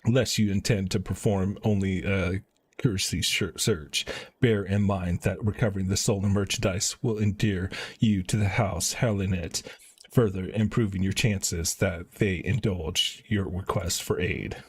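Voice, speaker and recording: Low husky male game streamer. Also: fairly even intonation, unhurried. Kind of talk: casual live-stream chat and reading game dialogue aloud.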